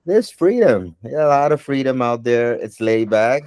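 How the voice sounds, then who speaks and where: A man from Suriname whose first language is Dutch, answering interview questions in an unhurried way, with many ums and pauses. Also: mid-range voice, expressive, darkish tone, clean tone, no room sound, studio quality.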